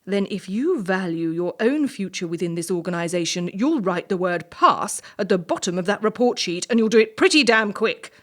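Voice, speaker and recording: A woman playing a ruthless, entitled young assessor in a stage play, brisk, condescending and coolly domineering under a veneer of charm. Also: medium pitch, lively intonation, a bit bright, lightly acted. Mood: cold menace.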